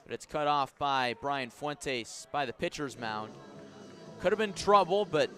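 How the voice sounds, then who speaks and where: A man doing live radio baseball play-by-play as the announcer, measured between pitches and lifting into excited calls on the action. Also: soft background music. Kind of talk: live radio sports commentary.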